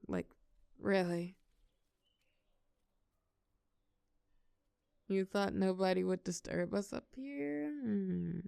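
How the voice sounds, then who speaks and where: A woman's voice: mid-range voice, vocal fry, clear, resonant tone, dry recording, lightly acted. A young woman playing a shy, tender college girl confiding in a close friend, hesitant yet playful, in an intimate audio roleplay. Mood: teasing, fond. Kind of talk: intimate scripted audio roleplay, one side of a conversation.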